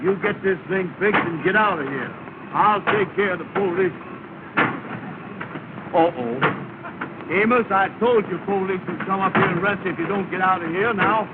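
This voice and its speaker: A man's voice: high-pitched, normal speed, pauses often, lightly acted. A man plays a self-important cab boss from an early talkie comedy, giving orders in a raised, blustering voice. The thin, phone-like sound is thick with hiss. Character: pompous, bossy male cab company boss in a comedy duo. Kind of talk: 1930s film comedy acting. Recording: tinny, hissy 1930 film soundtrack.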